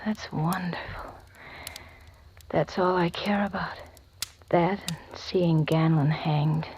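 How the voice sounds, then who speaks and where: A woman's voice: on the low side, steady tone, takes it slowly, highs cut off. An actress playing a desperate, vengeful young woman in a radio drama, pleading and melodramatic. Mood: relieved, vengeful. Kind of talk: 1940s radio drama acting. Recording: noisy old radio recording with clicks.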